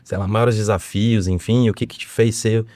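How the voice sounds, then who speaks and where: A man hosts a podcast, asking questions and reacting with quick affirmations in a slightly low voice. The recording is dry and close, with nothing ringing behind him. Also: steady tone, no echo. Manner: warm, enthusiastic interviewer with short affirmations. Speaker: podcast host. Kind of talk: podcast interview.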